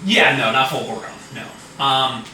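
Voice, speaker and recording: Bright, animated male game master in an echoey room, off-mic. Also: medium pitch, measured pace, recorded from a distance, slight background noise. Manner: animated, loose, swears often, many fillers. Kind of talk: casual tabletop game narration.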